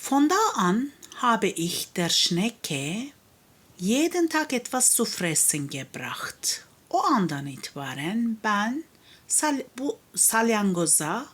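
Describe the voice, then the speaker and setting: Casting: female language teacher, Turkish accent, husky voice with lively intonation, reads and translates a children's story phrase by phrase. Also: mid-range voice. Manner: deliberate, explanatory phrase-by-phrase delivery. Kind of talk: read-aloud story with line-by-line translation.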